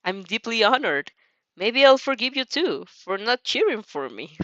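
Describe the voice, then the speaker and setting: A woman's voice: fairly high pitch, big pitch swings, moderate pace, a bit bright, dry recording, highs cut off. A woman voicing a teasing, playful teenage boy with a drawling manner in an anime fan story.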